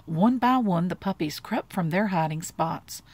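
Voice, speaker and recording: A woman reading a bedtime storybook aloud in an expressive storytelling style. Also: fairly low pitch, unhurried, no echo. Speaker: storybook reader.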